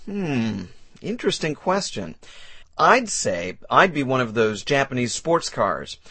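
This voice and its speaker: A man's voice: medium pitch, big pitch swings, a little slow, pushed and bright, breathy edge, dry recording, exaggerated voice. A man playing a polite, enthusiastic young job applicant in a scripted learner dialogue. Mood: eager. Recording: dry studio sound.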